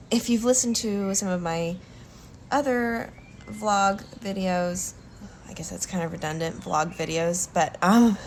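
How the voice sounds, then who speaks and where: A woman vlogger with a cold and a slightly stuffy nose, talking softly and hesitantly with many pauses during a calm art demonstration. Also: mid-range voice, slight background noise. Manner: slow, soft-spoken, hesitant with many ums. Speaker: collage artist vlogger.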